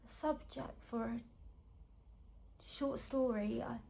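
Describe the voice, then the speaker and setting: A woman performing a naturalistic dramatic monologue as a weary, fragile young actress who is wounded but striving for faith, speaking in halting, broken phrases with long pauses. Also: on the high side, steady tone, creaky, dark tone. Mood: haunted, distracted. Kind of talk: naturalistic screen-acting stage monologue.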